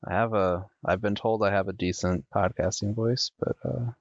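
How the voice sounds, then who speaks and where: Deep monotone man on a headset. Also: normal speed, no room sound, highs cut off. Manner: monotone, with many ums and uhs. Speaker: podcast host. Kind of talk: casual solo podcast talk. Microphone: USB desktop headset.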